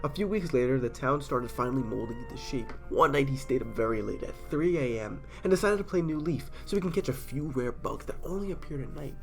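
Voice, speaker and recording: A man's voice: medium pitch, lively intonation, a little fast, vocal fry, hardly any pauses, faint music behind. A man with a stuffy cold, casually reading horror stories aloud in a chatty, self-deprecating way and sometimes stumbling over words. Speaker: storyteller.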